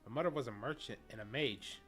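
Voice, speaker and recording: A man's voice: mid-range voice, fast talker, a bit bright, soft background music, close to an everyday voice. A man playing a troubled young man haunted by grief, in a dramatic role-play. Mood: grieving, guarded.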